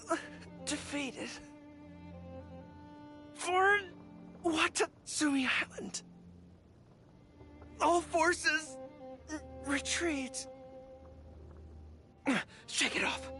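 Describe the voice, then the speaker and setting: A woman's voice: high-pitched, very slow, long pauses, pushed and bright, faint music behind, exaggerated voice. An actress playing a spirited young female army commander in a video game, earnest and resolute, speaking in short commanding lines. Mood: defeated, pained, then casual, chagrined. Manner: rallying, commanding, short barked orders.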